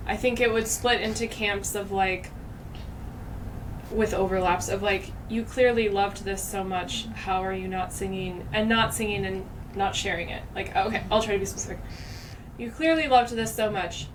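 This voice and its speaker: A woman's voice: medium pitch, moderate pace. A woman hosting a podcast in casual, reflective chat, thinking aloud with many fillers. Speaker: podcast host. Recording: distant mic, background noise.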